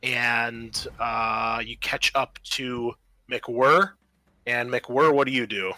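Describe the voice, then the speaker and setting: A man's voice: medium pitch, fairly even intonation, slow pace, pushed and bright, no echo, low-quality recording. A man narrating a tabletop roleplaying game as game master, casual and descriptive in his delivery. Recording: music under the voice. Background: background music.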